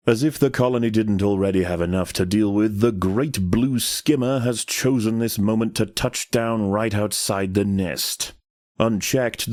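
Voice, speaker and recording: A deep, mellow male actor as a nature documentary narrator for a game. He delivers scripted lines with grave, measured drama. The sound is dry and intimate. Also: no room sound, lightly acted.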